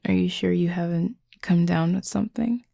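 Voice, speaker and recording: Woman as a husky, gravelly, flirty werewolf. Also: lowish voice, steady tone, moderate pace, mellow, dry recording. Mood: teasing, flirty. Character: flirty, teasing werewolf pack leader, confident and protective. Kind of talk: intimate audio roleplay.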